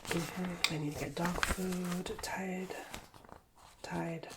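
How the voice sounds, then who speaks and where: A man's voice: high voice, little pitch movement, very slow, very noisy. A man with a nasal voice, whispering an ASMR roleplay as a chatty, rambling school guidance counselor while getting over a cold. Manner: chatty, rambling, self-mocking. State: recovering from a cold.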